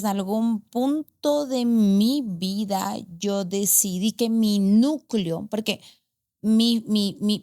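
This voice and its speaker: A woman's voice: mid-range voice, a little mellow. A middle-aged woman in casual podcast chat, answering questions and often finishing her partner's sentences. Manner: responsive, quick affirmations, finishes partner's sentences.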